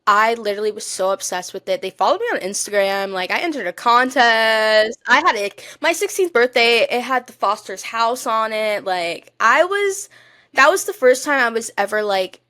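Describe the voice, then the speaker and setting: A woman's voice: a little high, animated, moderate pace, pushed and bright, dry recording. A young woman co-hosting a podcast in casual chat, talking in a gushing, emphatic fan style. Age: young adult. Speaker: podcast co-host.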